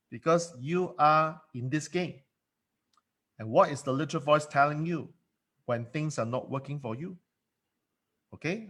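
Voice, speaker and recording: A man's voice: fairly high pitch, fairly bright tone. Guy giving a motivational talk, english not his first language, punchy, repeats himself a lot. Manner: emphatic, repeats words. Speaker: coach. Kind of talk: motivational monologue.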